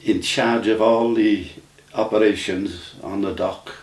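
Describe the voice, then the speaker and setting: A man, recalling his memories in a casual, halting way with many restarts. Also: lowish voice, fairly even intonation. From Wales. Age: 90s. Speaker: interview guest. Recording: roomy sound from a distant mic.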